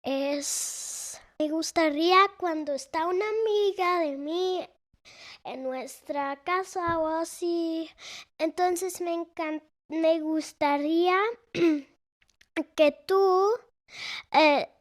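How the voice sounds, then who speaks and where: Slow, hesitant young girl. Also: extremely high pitch. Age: child, almost 9. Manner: hesitant, searching for words, many fillers. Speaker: interviewee, the host's daughter. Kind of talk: conversational interview.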